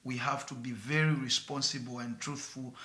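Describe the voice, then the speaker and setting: A man's voice: medium pitch, little pitch movement, moderate pace, sharp tone, echoey room. A man with a Zambian accent, a radio presenter answering interview questions earnestly with some hesitation. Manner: earnest, mixes in local phrases, some hesitation.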